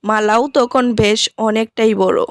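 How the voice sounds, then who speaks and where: A woman's voice: mid-range voice, fairly even intonation, a little slow. A woman reading scripted fairy-tale narration as a storyteller. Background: music.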